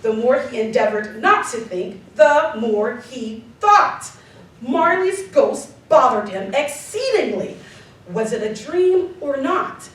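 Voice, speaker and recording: A woman narrating a classic story for a live stage radio drama, theatrical and emphatic, stressing words deliberately. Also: high voice, animated. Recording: distant mic, very echoey live hall, slight noise.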